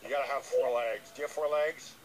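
A man's voice: medium pitch, steady tone, fast talker, natural voice. A man voicing a nervous, earnest, dim-witted llama who hesitates and repeats himself on a comic radio call. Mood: apologetic, rambling. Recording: noisy re-recording of radio playback.

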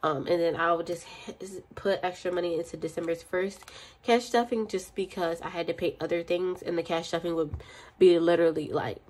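A woman's voice: fairly low pitch, fairly even intonation, brisk, a little mellow. A young woman with a cold and a stuffy voice, chatting casually and counting money aloud in her budgeting vlog. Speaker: budgeting channel host. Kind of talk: casual chat while counting cash. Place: indoors at a desk.

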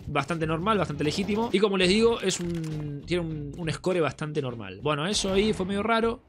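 A man's voice: fairly high pitch, animated, normal speed, a little hiss or hum. A young man with a Rioplatense Spanish accent, congested from a cold, chatting casually with viewers while commenting on a game replay. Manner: chatty, addresses viewers as friends. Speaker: gaming streamer. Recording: game music and gunfire behind.

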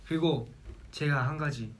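A man's voice: a little high, a little hiss or hum. A young man from South Jeolla chatting casually with fans on a livestream and telling stories. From South Jeolla, Korea. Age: young adult. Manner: quick, chatty storytelling, reading out a list. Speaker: idol group member on a livestream. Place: small indoor room with a plain wall.

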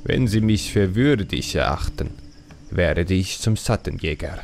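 A man's voice: sits low, lively intonation, a little slow, creaky, darkish tone, slight background noise, heavily acted. A man voicing an earnest, brooding young male troll who wants to be a shadow hunter, grave and resolute, in a fantasy audiobook. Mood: grave, determined.

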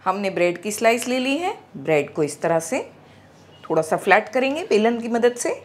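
A middle-aged woman hosting a home cooking channel, calmly walking through each step of a recipe in her kitchen. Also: mid-range voice, moderate pace. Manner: calm, instructive, gently explaining.

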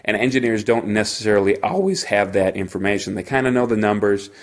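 A man hosting a solo podcast, talking informally and haltingly as he thinks aloud. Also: lowish voice. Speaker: podcast host; engineer.

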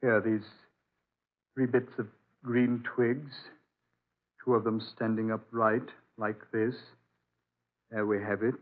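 An actor playing a guarded, protective doctor and father in an old-time radio drama, speaking briskly and firmly. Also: on the low side, little pitch movement. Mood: evasive. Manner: brisk, firm, evasive. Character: reassuring but guarded doctor, protective father on remote island. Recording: muffled narrowband old radio recording.

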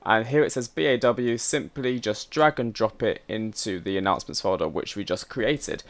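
A man hosts a casual software tutorial, chatty and instructional as he talks straight to viewers. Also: fairly low pitch, normal speed. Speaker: host of a flight simulator tutorial channel.

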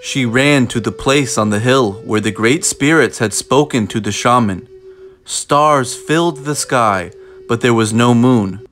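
A man reading a children's picture book aloud in an expressive way, with deliberate, dramatic phrasing. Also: mid-range voice. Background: music.

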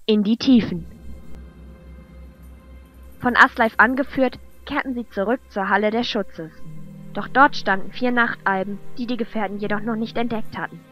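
A woman's voice: on the high side, fairly even intonation, moderate pace, pauses often, a bit bright. A woman narrator reading a scripted fantasy story. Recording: dull lo-fi track with music. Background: music.

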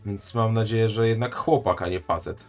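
Low-voiced man making joking stream asides. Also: normal speed, soft background music. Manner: joking, chatty asides to viewers. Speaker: streamer reacting to a video. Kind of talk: casual live-stream commentary.

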